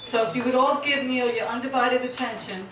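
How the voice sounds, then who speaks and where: A woman hosting a group meeting, thanking an organizer and introducing the next speaker in a measured way. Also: high-pitched. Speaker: meeting host. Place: meeting hall. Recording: echoey hall from far off. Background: crowd noise.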